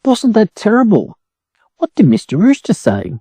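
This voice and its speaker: An Australian man plays a sociable mother duck from a children's storybook, motherly and mildly strict. The voice lifts high and moves up and down freely, with a dry, close sound. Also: huge pitch swings, normal speed, warm tone, breathy edge, no echo, exaggerated voice. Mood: excited, gossipy. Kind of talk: storybook character voice.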